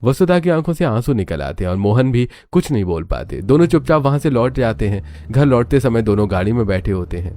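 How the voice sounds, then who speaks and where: A man's voice: mid-range voice, big pitch swings, fairly quick, hardly any pauses, mellow, no room sound. A man giving scripted storybook narration for a family cartoon.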